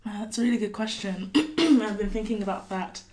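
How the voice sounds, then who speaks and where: A woman poet from California, a guest on a podcast, talking thoughtfully with many pauses and fillers and reading her poem. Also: mid-range voice, a little mellow. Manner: thoughtful, hesitant with many fillers, laughs often. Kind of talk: podcast interview with poetry reading.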